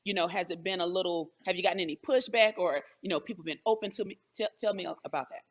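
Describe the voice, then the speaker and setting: A man with a fairly high voice hosts a relaxed, conversational interview. He is enthusiastic and affirming as he asks questions and offers praise. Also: fast talker. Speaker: interviewer, host.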